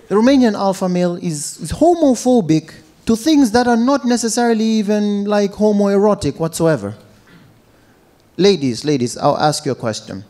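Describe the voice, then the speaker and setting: A young man performing a stand-up set on a club stage into a handheld mic, animated and switching between voices. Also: high voice, moderate pace. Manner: animated, slips into Romanian voices. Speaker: stand-up comedian. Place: comedy club stage with live audience.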